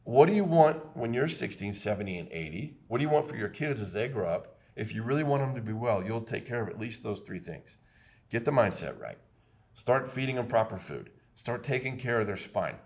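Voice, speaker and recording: A man's voice: mid-range voice, animated, fairly quick. A man, hosting a casual health podcast in an emphatic, preachy way and often repeating himself. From Texas. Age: 50s. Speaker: podcast host, chiropractor.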